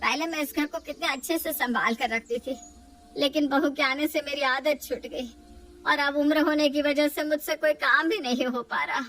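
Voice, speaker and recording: A woman's voice: sits high, fairly even intonation, moderate pace, fairly bright tone, recorded from a distance, a little hiss or hum, voice pushed up. A woman voicing a proud older mother-in-law who scolds her family and later admits she is worn out. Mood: weary, remorseful.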